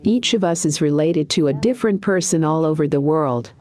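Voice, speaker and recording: A woman guiding a meditation in a calm, deliberate, soothing way, with pauses between short phrases. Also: low-pitched, faint music behind. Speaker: meditation guide and coach. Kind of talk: guided meditation narration.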